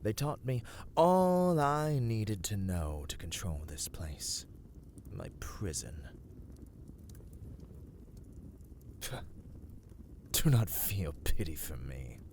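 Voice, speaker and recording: A man playing a brooding, world-weary vampire in a slow, dark ASMR roleplay, theatrical and often slipping into breathy whispers. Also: sits low, big pitch swings, slight background noise, full character voice. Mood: grim resignation. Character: brooding, world-weary male vampire, cursed castle master.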